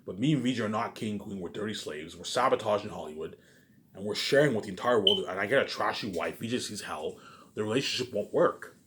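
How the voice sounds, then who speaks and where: A man with a fairly low voice speaks very quickly in a rambling, off-the-cuff monologue to his viewers. He rants with plenty of profanity, circles back over the same phrases, and keeps adding short verbal tags to his sentences. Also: lively intonation, fairly bright tone, a little hiss or hum. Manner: repetitive, with frequent verbal tags; profane, ranting. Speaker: vlogger.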